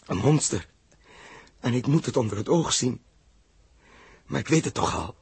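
A man's voice: medium pitch, sing-song, moderate pace, darkish tone, long reverb tail. An actor playing a feverish, guilt-haunted man in a theatrical Dutch 1960s radio drama. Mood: brooding, haunted. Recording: muffled, rough 1960s radio recording.

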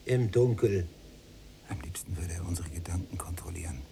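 An actor playing a bitter, sardonic younger prisoner in a radio play, with dry, biting muttered remarks. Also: male voice, deep voice, moderate pace, vocal fry, mellow, slight background noise. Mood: sardonic, muttering.

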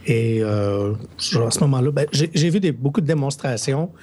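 A man with a Québécois French accent co-hosting a casual podcast, hesitant and quick to laugh. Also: medium pitch, expressive, mellow. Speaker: podcast co-host.

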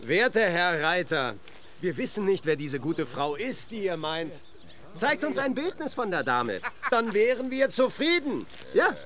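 An actor playing a sly, mocking travelling merchant who speaks with wheedling politeness in a German radio play. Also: male voice, sits high, big pitch swings, a little slow, fairly bright tone, exaggerated voice. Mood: mock-polite.

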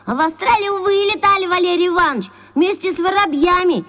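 A woman's voice: very high-pitched, fast talker, a bit bright. A woman playing a young, earnest, outspoken character in an audio drama, protesting insistently. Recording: echoey hall reverb.

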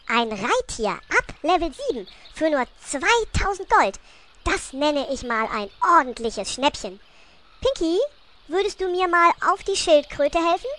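A woman's voice: high voice, pushed and bright, exaggerated voice. A woman playing a naive, imperious gnome queen in a comedic fantasy radio play, regal and breezily dismissive.

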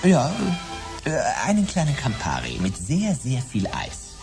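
An actor playing a vain, squeamish image stylist in a radio play, pompous, affected and hesitant. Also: male voice, mid-range voice, huge pitch swings, unhurried. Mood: prissy indignation. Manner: pompous, prissy, hesitant, indignant. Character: vain, squeamish, affected male pop stylist and self-declared artist. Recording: music behind the voice.

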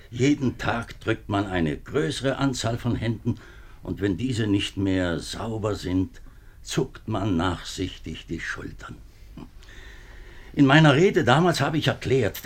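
An actor playing an upright, embattled middle-aged government minister in a 1950s German radio drama. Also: male voice, on the low side. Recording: 1950s radio play recording.

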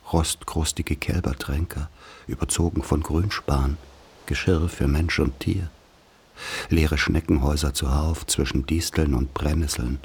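Grave guy remembering rural childhood, super deep husky voice, slow solemn radio play monologue. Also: darkish tone, heavily acted. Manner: solemn, measured poetic delivery. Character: grave man recalling a dark rural childhood.

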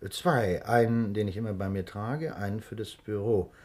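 An actor playing a flustered, obliging warehouse owner in a 1960s German radio crime drama, polite and a little hesitant. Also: male voice, lowish voice, moderate pace, a little mellow, close to an everyday voice.

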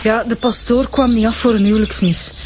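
A woman's voice: a little high, steady tone, brisk, a little mellow, slight background noise, natural voice. A woman with a Flemish accent playing a matter-of-fact, observant homicide detective in a radio drama.

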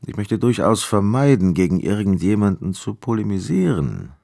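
Jittery patronizing young math professor in a radio drama, voice swings all over, raspy, halting and impatient. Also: male voice, on the low side, sing-song, mellow, exaggerated voice. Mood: flustered, condescending. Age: young adult. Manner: halting, lecturing, swinging emphatically. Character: nervous young mathematics professor, condescending, evasive, impatient. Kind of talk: German radio play acting.

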